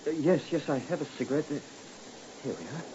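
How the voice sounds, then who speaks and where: A man's voice: a little high, expressive, fast, mellow, close to an everyday voice. An actor playing a despairing, hesitant man crushed by his troubles, in old-time radio drama style. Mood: subdued, nervous. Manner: hesitant, stammering, pleading. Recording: muffled, noisy old radio recording.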